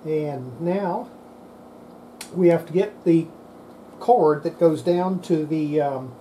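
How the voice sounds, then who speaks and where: An older man giving an unscripted hands-on repair demonstration, halting and muttering to himself as he works at a bench. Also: on the high side, mellow, a little hiss or hum. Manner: halting, muttering to self while working. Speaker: radio repair hobbyist, presenter. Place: indoor workbench.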